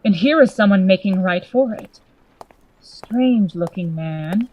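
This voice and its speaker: A woman playing a grave, worried gentleman lawyer in a Gothic radio play, formal and earnest in manner. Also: medium pitch, animated, unhurried. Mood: wary, polite.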